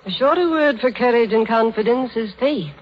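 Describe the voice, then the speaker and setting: A woman acting a calm, devout, serene hospital patient in a 1930s radio drama. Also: on the high side, normal speed, slight background noise, lightly acted. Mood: serene, knowing. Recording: echoey, dull 1930s radio recording.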